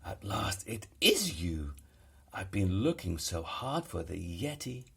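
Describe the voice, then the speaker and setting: A man voicing an eager, polite young boy adventurer in a children's storybook, with an excitable manner. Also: sits low, takes it slowly, vocal fry, slightly rough, heavily acted. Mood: excited. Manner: excitable, exclaiming.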